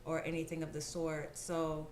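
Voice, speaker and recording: A woman's voice: sits low, monotone, moderate pace, darkish tone, slight background noise. A woman hosting a podcast in an upbeat, casual and hyped-up way. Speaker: podcast host. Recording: echoey room with faint ambience.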